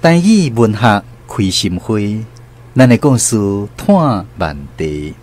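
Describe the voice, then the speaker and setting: A man with a Taiwanese accent narrates from a script as a radio host. His pitch is on the low side and very lively, with faint music underneath. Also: slow.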